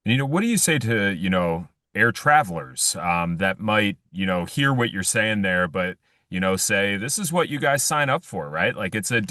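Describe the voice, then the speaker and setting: A man's voice: on the low side, bright, forceful tone, no room sound, studio quality. A man working as a news interviewer, asking conversational, roundabout questions in a hedging way. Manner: hedging, roundabout questions padded with "you know".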